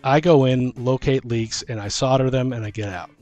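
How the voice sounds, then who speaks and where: A man talks casually as if on a podcast, at a medium pitch. He is informal and works through what-if examples to argue his view. Faint music plays behind him. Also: normal speed, darkish tone, lo-fi sound.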